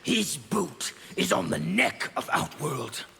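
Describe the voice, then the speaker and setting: A grim, warmongering fighter with an extremely gravelly, high voice. He is slow, harsh and contemptuous, a menacing video game soldier. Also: big pitch swings, heavy background noise, heavily acted. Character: ruthless, grim warmongering soldier with a hard temper. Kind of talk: video game character acting.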